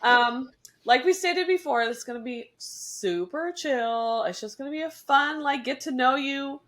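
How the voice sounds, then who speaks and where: A woman's voice: sits high, measured pace, a bit bright. A woman hosting a podcast, chatting in a bubbly, rambling way. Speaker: podcast host. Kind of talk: casual interview chat.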